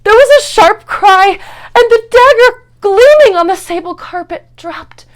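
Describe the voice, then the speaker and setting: A woman giving a dramatic, theatrical narration of a gothic horror story, moving between hushed and intense delivery. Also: very high voice, expressive, a little slow. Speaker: actor and performer reading a story.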